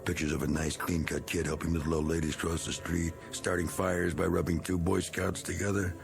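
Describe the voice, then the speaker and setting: An actor playing a needling, bitter middle-aged American war veteran with folksy, slangy talk in a vintage TV drama. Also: male voice, sits low, little pitch movement, a little fast, music in the background. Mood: menacing. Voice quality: gravelly. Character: needling, bitter male war veteran, rough working man. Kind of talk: 1960s television drama acting.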